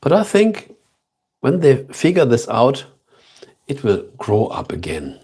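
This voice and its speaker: A man with a German accent hosting a casual live stream, chatting slowly and thoughtfully with viewers and repeating himself between fillers. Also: mid-range voice, huge pitch swings, a little mellow, husky. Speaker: livestream host.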